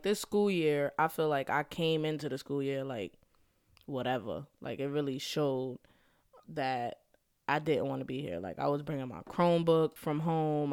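A woman's voice: deep voice, a little mellow, clean tone, no echo, studio quality. Laughing, slangy young woman podcaster. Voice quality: stuffy from a cold. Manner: laughs often, slangy and upbeat. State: slightly congested. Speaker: podcast host; school counselor. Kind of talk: casual solo podcast monologue.

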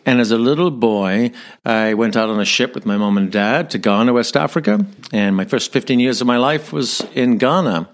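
A man in his fifties from Northern Ireland with a mild Northern Irish accent, telling his story as a podcast guest in a measured way, repeating words as he thinks. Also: medium pitch. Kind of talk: conversational storytelling.

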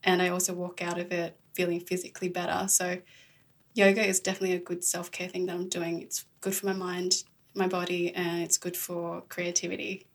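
A woman's voice: fairly low pitch, monotone, fairly quick. A young adult woman from Australia, a podcast host being interviewed, speaking in an earnest, reflective, casual way.

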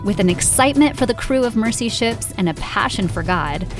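A woman's voice: a little high, expressive, fairly quick, music in the background. A woman hosting an interview podcast, speaking in an enthusiastic, encouraging way. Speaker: podcast host. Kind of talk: scripted introduction and interview hosting.

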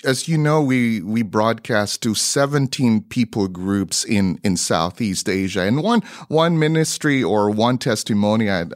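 A middle-aged man with a Filipino accent telling stories as an interview guest, conversationally and a little haltingly as he searches for words. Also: medium pitch, dry recording, studio quality. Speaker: guest missionary broadcaster.

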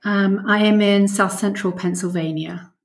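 A woman's voice: mid-range voice, fairly even intonation, moderate pace. A woman in her sixties with an English accent, a podcast guest telling her own story conversationally. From London, England. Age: 60s.

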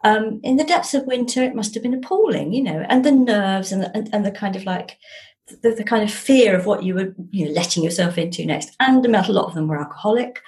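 A middle-aged woman speaks in a casual interview. Her voice sits at an average pitch, and she is emphatic and animated, often doubling up words to drive a point home. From London, England. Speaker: author guest.

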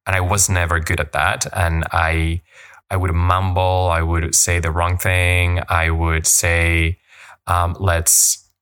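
Slow, low-voiced man reflecting. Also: monotone, a bit bright, smooth. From Colombia. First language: Spanish. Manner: thoughtful, self-questioning, frequent restarts. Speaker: yoga teacher and podcast host. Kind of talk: reflective podcast monologue.

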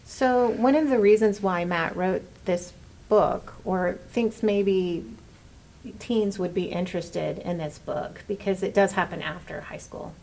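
A woman's voice: mid-range voice, measured pace, a little mellow, low-quality recording. A woman hosting and moderating a book discussion, putting questions to the group. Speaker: discussion host.

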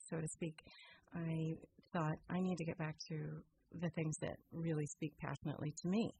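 A woman's voice: low-pitched, creaky, dark tone, slight background noise, low-quality recording. A middle-aged American woman chatting casually as an interview guest, recounting her own experiences. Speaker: radio theater performer and guest.

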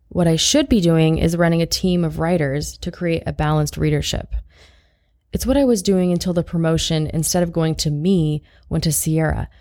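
A woman's voice: sits low, creaky, warm tone. A woman reading first-person short fiction as a narrator, in a wry, confiding way.

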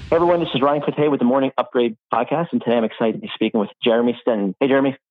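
A man hosting a podcast, chatting in a relaxed way with many "like" fillers. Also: medium pitch, very fast, no room sound. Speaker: podcast host. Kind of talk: casual interview chat. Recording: tinny phone-like line.

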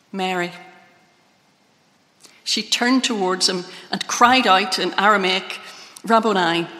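Female church reader, scripture reading style, bright projected tone, medium pitch, long echo of a large hall. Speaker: Bible reader introducing the preacher.

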